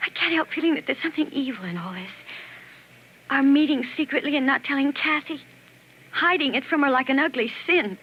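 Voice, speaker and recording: Guilt-ridden, anxious sweetheart, high and earnest, vintage radio drama, muffled sound. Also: female voice, lively intonation, brisk. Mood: troubled. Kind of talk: 1940s radio melodrama acting. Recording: dull, noisy 1940s radio recording.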